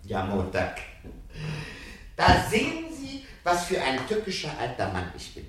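An actor playing a sly, fervent old professor in a 1970 radio play, theatrical and often dropping into breathy, conspiratorial whispers. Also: male voice, on the high side, huge pitch swings, unhurried, a bit bright, full character voice. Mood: sly, self-mocking. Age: older.

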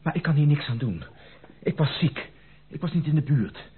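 An earnest, protective gentleman in an old radio drama. His intonation moves widely and his delivery is formal and emphatic, with a very husky tone. The recording sounds thin and muffled. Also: a little high, animated, moderate pace. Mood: apologetic, pleading. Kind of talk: Dutch radio play acting.

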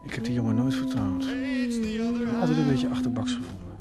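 A man's voice: very high-pitched, wildly swooping pitch, a little fast, exaggerated voice. An actor playing a bitter, brooding drunk man in a Dutch radio play, sardonic, morose and terse in manner. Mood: scornful, uneasy. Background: faint music.

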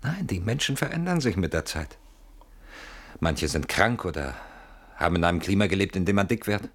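A deep-voiced man in a radio drama, anxious and brooding. He reasons aloud in an articulate, ironic way, always on the defensive, with a theatrical delivery. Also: lively intonation, normal speed. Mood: reflective, uneasy. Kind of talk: German radio play acting.

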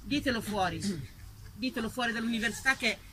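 A woman's voice: fairly high pitch, fairly quick, bright, forceful tone, slight background noise, poor audio. An Italian woman performing a live theatre monologue, chatting playfully and directly with her audience. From Italy. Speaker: stage performer.

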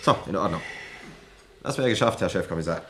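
An actor playing a practical bomb squad technician in a German radio play, giving brisk instructions. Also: male voice, deep voice, expressive, noisy background.

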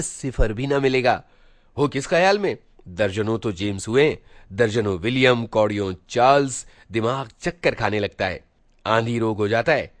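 A man's voice: mid-range voice, no echo, close to an everyday voice. A man voicing a preachy, self-important schoolboy older brother, lecturing pompously with rhetorical questions in a storybook monologue. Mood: mocking, warning.

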